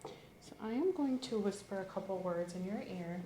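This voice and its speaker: A woman speaking calmly and clinically as an examiner in an ASMR medical role-play, often dropping to a whisper. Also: mid-range voice, a little fast, echoey room, a little hiss or hum, rough recording. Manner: calm, clinical, soft-spoken.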